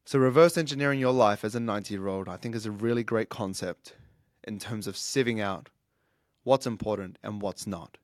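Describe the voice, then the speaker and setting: A man's voice: lowish voice, brisk, no room sound. Earnest thirty-something man, dry close sound. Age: 30s. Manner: earnest, reflective, with frequent restarts. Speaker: podcast host, fitness coach. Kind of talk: casual monologue.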